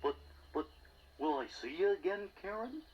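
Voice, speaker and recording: A man's voice: high-pitched, very animated, moderate pace, muffled recording, slight background noise. A man voicing a shy, lovestruck creature in a children's storybook recording, hesitant and bumbling in manner. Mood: worried. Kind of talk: children's storybook cassette acting with songs. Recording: 1980s cassette with loud music behind.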